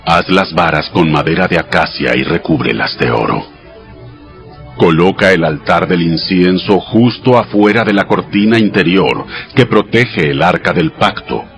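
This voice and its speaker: A man playing God as a solemn, commanding male deity, delivering measured commands in a dramatized audio scripture. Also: deep voice, a bit bright, heavily acted. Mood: solemn, instructive. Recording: music behind the voice. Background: music.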